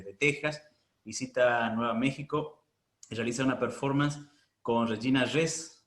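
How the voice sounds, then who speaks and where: A man hosting a formal interview, courteous and halting in his delivery, recorded with roomy echo over an internet call. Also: mid-range voice, a bit bright. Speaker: interviewer, host. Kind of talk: formal interview, introducing a guest.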